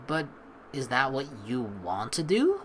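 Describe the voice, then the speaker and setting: A woman hosting a let's play, informal and animated, mixing game commentary with reading dialogue aloud. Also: a bass voice, unhurried, a little hiss or hum.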